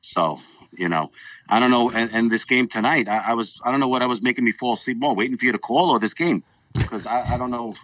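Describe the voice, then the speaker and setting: A man's voice: medium pitch, quick pace, a bit bright, dry recording, distant mic, muffled recording. Opinionated Long Island man on the phone. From Long Island, New York. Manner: opinionated, frustrated, stammering. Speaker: phone-in guest.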